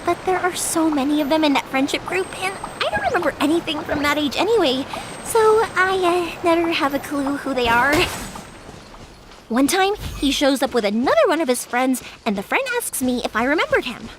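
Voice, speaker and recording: A woman's voice: high voice, moderate pace, noisy background. A woman voicing a cheerful, chatty young fireworks maker in a video game. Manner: lively, chatty and warm-hearted storyteller.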